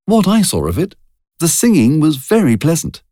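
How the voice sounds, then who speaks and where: A man's voice: fairly high pitch, wildly swooping pitch, normal speed, dark tone, no echo, studio quality, voice pushed up. A man voicing an anxious, well-bred young woman who speaks in a prim, hesitant way.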